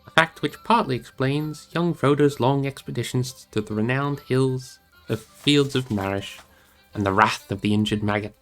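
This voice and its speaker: A man narrates a fantasy novel in a mid-pitched voice, with music playing behind him. His delivery is expressive storybook reading. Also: moderate pace, low-quality recording. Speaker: storybook reader, streamer.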